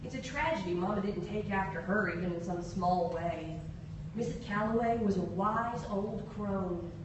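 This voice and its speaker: A woman giving a theatrical, expressive live reading of a story at a podium in a hall. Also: mid-range voice, moderate pace, lo-fi sound. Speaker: reader at a literary event. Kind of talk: dramatic live reading of a short story in character. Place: indoor hall at a podium, audience present. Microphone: far camera. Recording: echoey room from far off, noisy.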